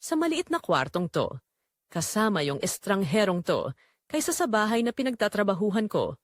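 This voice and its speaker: A woman playing a shy, weary housemaid in an audio drama, quiet and hesitant. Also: medium pitch, sing-song, moderate pace, no room sound, studio quality, lightly acted. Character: shy, weary housemaid, older than the guard.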